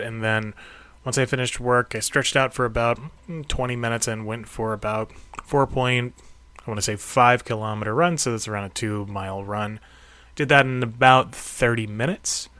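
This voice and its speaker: A man's voice: mid-range voice, fairly bright tone, smooth, no room sound. A man talking off the cuff in a casual, reflective audio diary, with an encouraging manner. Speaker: host of a karate training audio log.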